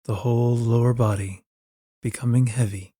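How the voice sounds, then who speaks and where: A man narrating a scripted guided meditation in a gentle, soothing manner, giving soft, unhurried instructions close to the mic. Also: mid-range voice, fairly even intonation, husky, no room sound.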